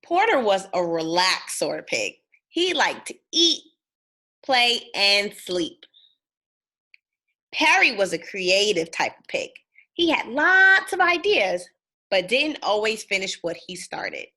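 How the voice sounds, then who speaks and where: A woman reads a children's storybook in a bright, expressive voice. Her pitch moves a great deal and she takes her time, turning to her young listeners with questions. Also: takes it slowly. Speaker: storybook reader. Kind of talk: animated read-aloud of a children's storybook.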